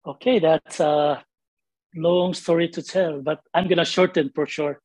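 A man's voice: fairly high pitch, a little slow, no room sound. A man in his forties from the Philippines with a Filipino accent, a guest painter chatting casually in an interview over a video call from his home studio. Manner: relaxed, rambling, with frequent laughs and fillers. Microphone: webcam on video call.

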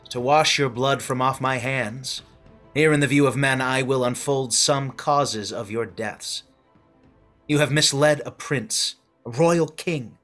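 A man in a video-call Shakespeare reading, playing an exiled duke who forcefully claims his inheritance. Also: medium pitch. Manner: forceful declamation. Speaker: actor. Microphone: video call webcam.

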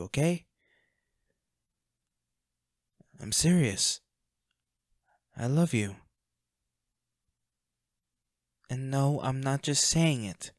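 A man playing a devoted, protective bodyguard with a dark past in an intimate audio roleplay, speaking earnestly and gravely. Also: mid-range voice, no echo, studio quality, lightly acted. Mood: earnest, pleading.

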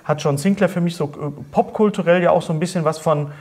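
A middle-aged man hosts a casual interview in a slightly high voice. He is chatty and jokey, wandering through his questions in a conversational way. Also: quick pace. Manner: chatty, jokey, animated gestures. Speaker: talk-show host, interviewer. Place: small dark studio set, seated at a table.

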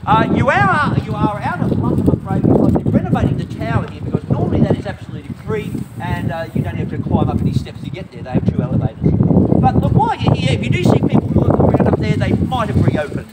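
A man's voice: high-pitched, big pitch swings, fast. A man guiding a walking tour outdoors, talking wryly and conversationally to his group. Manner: wry, conversational, addresses the group as folks. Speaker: tour guide. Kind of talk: guided tour talk. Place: outdoors in a city square and harbour. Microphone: vlogger's phone, from some distance. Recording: distant voice under loud wind.

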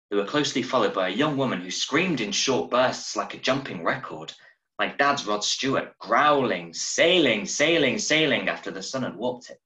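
A man in his thirties reading a short story aloud in a measured, dramatic way, recorded at home over a video call. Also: mid-range voice, pushed and bright. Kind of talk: expressive audiobook-style story narration. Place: small room at home, indoors. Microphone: video call from a desk, wearing earphones. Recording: roomy, echoing home webcam recording.

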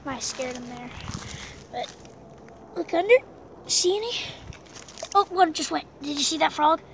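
A woman with a high, gravelly voice films an outdoor vlog on a handheld phone beside a pool. She talks loosely, full of fillers, and drops to a hush while she searches the water. Faint outdoor noise sits behind her. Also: animated, measured pace. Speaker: vlogger. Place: outdoors by an abandoned pool on rural land. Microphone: handheld camera phone filming the water.

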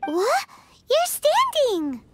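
A woman voicing a tiny, excitable fairy companion in a video game, with a squeaky, childlike, cutesy delivery. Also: extremely slow, loud music behind the voice, heavily acted. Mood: indignant. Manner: cutesy, exclamatory. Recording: game capture with loud background noise.

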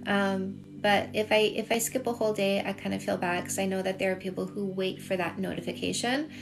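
A woman's voice: medium pitch, steady tone, fairly quick, creaky. A middle-aged Canadian woman with a Canadian accent, chatting casually on a livestream as a coach, reading and answering comments and repeating points for emphasis. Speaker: dating coach and livestream host. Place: small home room with shelves, indoors. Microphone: phone at arm's length.

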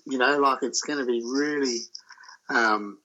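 A man talking about his sailing voyage as an interview guest, telling it in a halting, loose way. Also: mid-range voice, sharp tone, highs cut off. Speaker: solo sailor guest. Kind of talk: casual interview chat.

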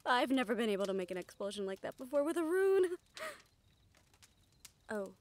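A woman plays a young female mage in an intimate audio roleplay. Her voice sits a little high. She comes across as excitable and caring, speaking in an animated, halting way and swearing freely. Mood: shocked, flustered.

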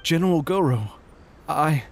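A man's voice: fairly high pitch, expressive, unhurried, slight background noise. A man playing a nervous young soldier in a video game, hesitant, formal and deferential. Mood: downcast. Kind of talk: scripted video game voice acting.

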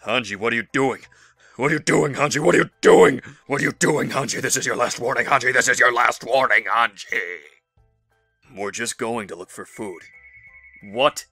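A man's voice: mid-range voice, normal speed, vocal fry, sharp tone, no room sound, soft background music. A man recording amateur drama lines in repeated takes, sounding exasperated. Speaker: amateur voice actor in a fan audio drama.

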